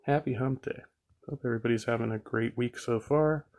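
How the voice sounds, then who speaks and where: A man's voice: mid-range voice, a little fast. A man with a scratchy throat hosting a livestream, commenting casually and thinking aloud as he reviews a video. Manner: relaxed, thinks aloud with fillers and throat clearing.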